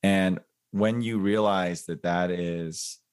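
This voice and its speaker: Reflective man in his forties, low voice. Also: fairly even intonation, takes it slowly, smooth. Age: 40s. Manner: reflective, thinks aloud with many fillers and restarts. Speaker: podcast guest. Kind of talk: casual interview chat.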